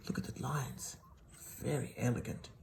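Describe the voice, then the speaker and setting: Low, creaky woman reading a children's story. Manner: animated, pausing for gentle asides to a child. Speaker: storybook reader.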